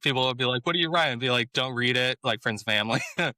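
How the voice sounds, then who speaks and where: A man's voice: mid-range voice, quick pace, bright, forceful tone, no echo. A man being interviewed as an author, talking in a laid-back way and chuckling often. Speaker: author guest. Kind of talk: casual interview chat.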